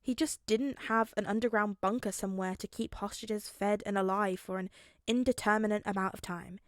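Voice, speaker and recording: A woman's voice: mid-range voice, steady tone, normal speed, no echo, studio quality. A woman reading scripted story narration in the style of an audiobook. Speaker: fan fiction narrator.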